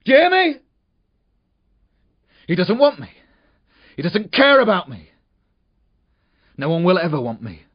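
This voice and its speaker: Male narrator as troubled young man, volatile and lonely, husky, wide-swinging pitch, storybook drama. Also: wildly swooping pitch, measured pace, pauses often, exaggerated voice. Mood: anguished. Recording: dull, muffled audio.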